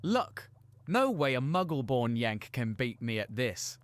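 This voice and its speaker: A man's voice: mid-range voice, sing-song, measured pace, no echo. A man playing an arrogant, jealous schoolboy rival in a fan audio drama, with a haughty, taunting delivery. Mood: sneering.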